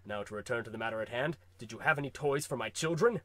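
A man's voice: medium pitch, fast, a bit bright, no room sound, natural voice. A man playing a smug, brusque, boastful, prosperous father in a comic radio play. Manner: pompous, curt, boastful.

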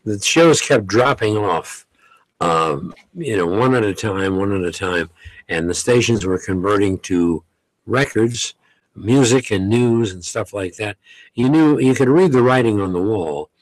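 A man's voice: on the low side, lively intonation, no echo, rough recording. An older man, a longtime radio host, unhurriedly reminiscing in casual talk at a studio microphone. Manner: unhurried storyteller, chuckles while recalling. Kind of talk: relaxed reminiscing conversation. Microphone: studio condenser mic on boom.

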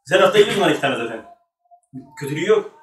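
A man's voice: fairly high pitch, big pitch swings, racing, crowd noise. A man in his thirties bantering casually as a quiz show host, teasing and exclaiming, at a table in a small studio. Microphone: shared table microphone. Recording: roomy, distant mic, faint noise.

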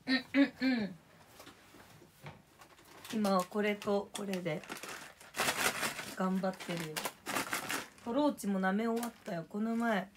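A young woman with a hoarse voice, chatting casually on a livestream and thanking viewers for gifts. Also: mid-range voice, takes it slowly, long pauses, very noisy. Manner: chatty, drifts between topics and reading out viewer names.

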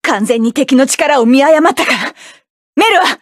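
A proud hot-tempered mecha pilot. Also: female voice, high voice, lively intonation, moderate pace, dry recording, clean studio sound. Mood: defiant, strained. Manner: sharp, commanding, clipped battle barks. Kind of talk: video game battle voice lines.

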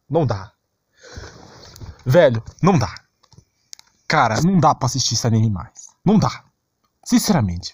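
A man's voice: a little high, dry recording. A young Brazilian man giving a casual, slangy, unscripted rant in an exasperated, complaining way. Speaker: anime commentary vlogger. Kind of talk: casual unscripted ranting commentary.

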